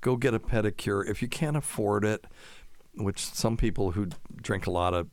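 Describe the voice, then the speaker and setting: A man's voice: on the low side, moderate pace, no room sound. A man hosting a casual live show, answering listener questions in a folksy, rambling way and explaining medical terms plainly.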